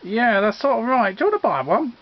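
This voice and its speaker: A man voicing an excitable, wiry, gold-hungry gramophone tinkerer in a comic story, rambling and colloquial. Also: very high voice, fairly quick, highs cut off, pitched above the performer's own voice. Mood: hopeful.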